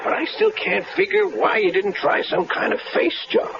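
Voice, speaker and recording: A man's voice: sits high, big pitch swings, moderate pace, gravelly, full character voice. An actor playing a sly, threatening tough-guy blackmailer in a 1970s radio drama, on a muffled broadcast with hiss. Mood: menacing. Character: menacing adult male tough-guy blackmailer.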